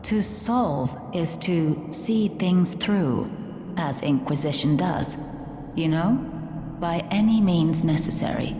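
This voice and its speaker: Game drama: fatalistic woman, grave and unhurried, roomy reverb, faint background noise. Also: lowish voice, warm tone. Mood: resolute. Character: grim, fatalistic woman trapped in doomed town. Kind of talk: theatrical game dialogue. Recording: echoey hall, faint noise and ambience.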